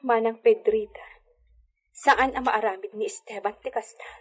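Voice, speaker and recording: A gentle, devoted young lady of a hacienda in a radio drama. Her voice is fairly high with a husky edge, earnest and plaintive. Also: steady tone, moderate pace. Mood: hurt, loyal.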